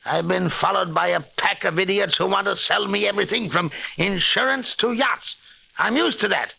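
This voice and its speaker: A man's voice: high voice, normal speed, gravelly, pushed and bright, slightly rough, full character voice. An actor playing an irascible, haughty wealthy man in a 1940s radio mystery, blustering with indignation. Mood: scornful, dismissive. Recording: noisy, hissy old radio recording.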